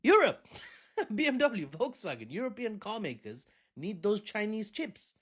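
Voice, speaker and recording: A man hosting a casual political commentary, animated and joking with sarcastic asides. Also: high voice, measured pace, breathy edge. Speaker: host.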